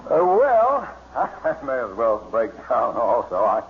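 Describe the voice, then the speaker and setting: A man playing a soft-hearted gentleman hunter in a 1950s radio comedy, hesitant, formal and theatrical. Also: mid-range voice, huge pitch swings, slow, full character voice. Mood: bashful confession. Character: soft-hearted, stammering gentleman hunting pal. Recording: muffled, very noisy 1950s radio recording.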